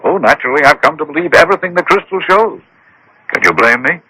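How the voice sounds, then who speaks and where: An actor playing an anxious, credulous, well-mannered wealthy client in a vintage radio mystery, speaking earnestly and formally. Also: male voice, on the high side, animated, quick pace, bright, forceful tone, slight background noise, poor audio. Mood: earnest, awed. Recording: old radio drama broadcast.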